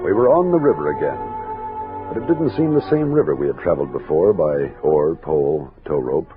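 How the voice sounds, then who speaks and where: An American actor playing a steady, commanding army captain of a frontier expedition in an old-time radio drama. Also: male voice, rumbling low, a little fast, phone-quality sound, over music. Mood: reflective narrating. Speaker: lead actor and narrator. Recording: thin, noisy vintage radio recording.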